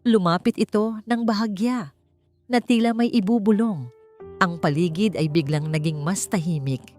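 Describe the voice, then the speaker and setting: A woman with a Filipino accent narrating a dramatic story in a suspenseful, deliberate way with pauses. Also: mid-range voice, a little mellow. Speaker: audiobook narrator. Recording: music bed under narration. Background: background music.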